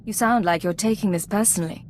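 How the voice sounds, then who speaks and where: An actress playing a commanding, composed secret agent in a video game, with controlled, clipped delivery. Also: female voice, medium pitch, quick pace, a little hiss or hum, close to an everyday voice. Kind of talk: dramatic game dialogue.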